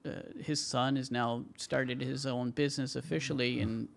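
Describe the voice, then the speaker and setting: Male tradesman guest, gravelly, rambling storyteller, mid pitch. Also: steady tone, moderate pace. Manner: rambling, many fillers and "you know". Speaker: tile contractor, podcast guest. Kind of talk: casual interview storytelling.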